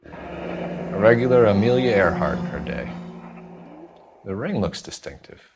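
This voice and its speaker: A man's voice: lowish voice, animated, unhurried, very noisy. An American man playing an earnest, methodical 1940s police detective in a crime game, clipped and formal. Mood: focused, muttering. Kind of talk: period crime game acting.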